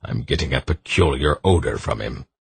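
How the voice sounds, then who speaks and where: A man voicing a gruff old man who barks terse orders, with a husky voice. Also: a bass voice, animated, moderate pace, pitched below the performer's own voice, full character voice. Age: older.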